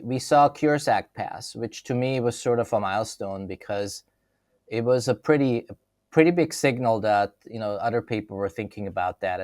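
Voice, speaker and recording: Austrian guy, middle-aged, lowish voice, dry close mic, keeps restarting sentences. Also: darkish tone, dry recording. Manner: thoughtful, restarts phrases often. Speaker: CEO guest. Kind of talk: conversational interview.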